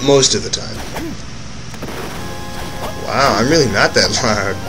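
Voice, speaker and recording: A man's voice: fairly low pitch, slow pace, low-quality recording. Rambling young man over game music. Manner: rambling, self-correcting, offhand asides. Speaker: let's play commentator. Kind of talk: casual gameplay commentary. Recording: game music loud behind voice.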